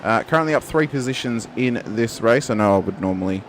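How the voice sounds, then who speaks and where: A man giving lively play-by-play commentary on a sim race. He talks straight to the viewers and lifts his voice for the big moments. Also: medium pitch, a little fast, a little hiss or hum.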